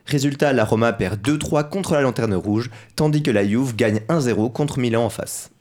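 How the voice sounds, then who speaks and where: A man reading his football column on a radio show, telling it as a lively story with asides to the listeners. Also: medium pitch, moderate pace.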